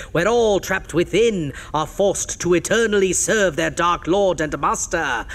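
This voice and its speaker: A man's voice: high voice, a bit bright, exaggerated voice. A man voicing a sly, ghoulish horror host who teases his listeners in a mock-sinister way. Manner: mock-sinister, teasing, chuckling.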